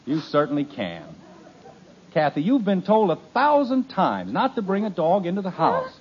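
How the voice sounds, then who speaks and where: A man's voice: high voice, wildly swooping pitch, moderate pace. A man playing an exasperated American suburban father in a 1950s radio sitcom, middle-aged, with dry sarcasm. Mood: stern, irritated. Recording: noisy, dull old radio recording.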